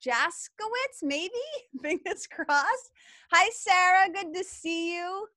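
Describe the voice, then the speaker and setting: A chatty, enthusiastic middle-aged woman with a thick Boston accent greets her webinar audience. Her voice sits high and bright. The sound is dry and close, as if from a computer mic on a video call. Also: slow pace, dry recording. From Massachusetts. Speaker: webinar co-host. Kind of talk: live webinar, greeting viewers in the chat.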